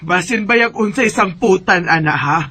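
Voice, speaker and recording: A man's voice: high-pitched, moderate pace, sharp tone, husky, full character voice. A man with a Cebuano Filipino accent playing a nagging, coaxing middle-aged mother in an amateur radio drama. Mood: chiding.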